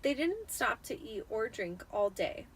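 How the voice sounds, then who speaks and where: A woman's voice: on the high side, very animated, a little slow, breathy edge. A tipsy woman giving an amateur dramatic reading of a storybook. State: tipsy after drinking wine.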